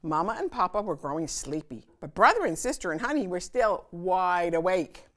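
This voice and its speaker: A woman hosting a children's story time, reading aloud and pausing to chat with and question her young listeners. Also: lowish voice, very animated, a bit bright. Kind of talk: animated read-aloud of a picture book for children.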